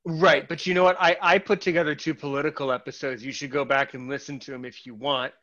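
A man's voice: a little high, fairly even intonation, fast talker, sharp tone, muffled recording. A man hosting a podcast in casual conversation, rambling with many false starts and repeated words. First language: English. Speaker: podcast host.

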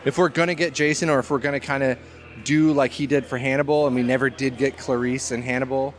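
A man's voice: mid-range voice, steady tone, quick pace. A man chatting casually about horror films as a podcast guest, emphatic, slangy and joking.

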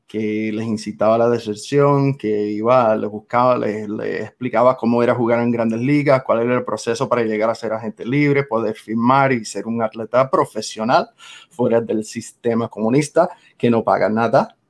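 A man's voice: medium pitch, steady tone, normal speed. An American man in his forties, speaking Spanish with a Cuban accent, telling stories as a guest on an interview show. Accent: Cuban-influenced Spanish. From United States. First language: English. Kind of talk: casual interview storytelling.

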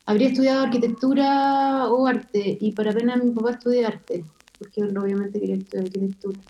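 A woman from Chile with a Chilean Spanish accent, an illustrator speaking thoughtfully as an interview guest and pausing often with fillers. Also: on the high side, steady tone, warm tone, lo-fi sound. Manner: thoughtful, hesitant with many fillers. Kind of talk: casual interview conversation.

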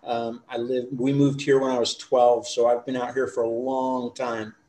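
A man's voice: medium pitch, level tone, moderate pace, mellow, roomy sound, low-quality recording. A middle-aged man from the United States, chatting easily as a podcast guest. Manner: easygoing, chatty storytelling. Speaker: interview guest. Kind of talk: casual chat.

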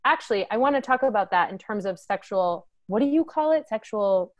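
A woman hosts a casual podcast interview at a middle pitch. She is chatty and self-deprecating and puts her questions loosely. Her voice sounds close and dry, with no room echo. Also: expressive, a little fast. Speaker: podcast host.

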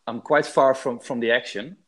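A Dutch man talks as a guest in a relaxed interview, his Dutch accent clear in his English. He hesitates a lot and restarts his sentences. His voice sits at an ordinary middle pitch. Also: moderate pace. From Netherlands. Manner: hesitant, many restarts and repeated words. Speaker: guest, sports fan. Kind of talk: casual interview.

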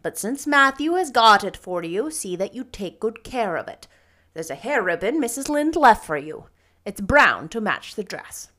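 A stern older woman from a storybook, voiced by a female reader, practical and without nonsense. She speaks out firmly, brisk and bossy, in a matter-of-fact way. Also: mid-range voice, sing-song, a bit bright. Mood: brisk disapproval. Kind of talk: storybook narration character voice.

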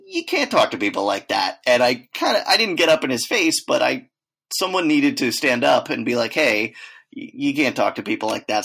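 A man's voice: medium pitch, vocal fry, fairly bright tone, husky. A man co-hosting a casual chat, telling rambling travel stories with lots of fillers and acted-out quotes. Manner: rambling storyteller, acts out quotes, many fillers.

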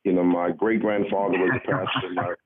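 A man in his sixties from the Bahamas speaks as a guest pastor in a radio interview. His voice is low, and he talks earnestly, feeling his way through sentences. It comes over a thin, muffled phone connection. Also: flat delivery, very noisy. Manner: measured, halting, earnest.

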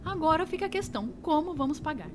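A woman's voice: high voice, expressive, fast, background noise. An actress playing a practical, organised inner voice of a young woman, with a Brazilian accent, in an audio drama. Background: music behind the voice.

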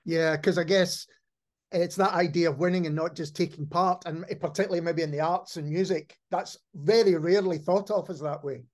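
Male podcast host, pretty high voice, chatty, thinking out loud as he goes. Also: steady tone, brisk. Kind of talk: casual interview chat.

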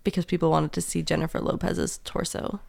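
A young woman co-hosting a podcast, chatting casually and laughing often, then reading the show's intro in a plain, even way. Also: on the low side, fairly quick, vocal fry. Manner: giggly, reads show intro plainly. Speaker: podcast co-host.

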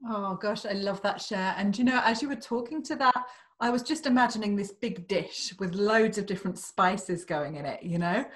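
A British woman co-hosting a conversational podcast as a life coach, effusive and quick to laugh. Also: medium pitch. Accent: British English. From Britain. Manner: warm, effusive, laughs often. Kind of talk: casual conversation.

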